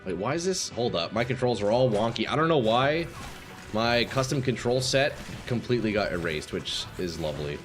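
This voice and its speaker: A male game streamer talks to his chat in a loose, excitable way, cursing and calling out to viewers. His voice is mid-pitched and slightly hoarse from talking too long. Faint game music plays underneath. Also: normal speed. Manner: chatty, swears, reacts loudly, talks to chat as "boys". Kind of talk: casual live-stream chat while playing.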